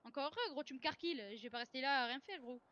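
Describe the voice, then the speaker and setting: A woman's voice: high voice, lively intonation, rapid-fire, bright, forceful tone, muffled recording. A woman arguing in casual, slangy French as a player in online game voice chat, over a hissy gaming microphone. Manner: argumentative, slangy. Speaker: online roleplay player playing a police officer.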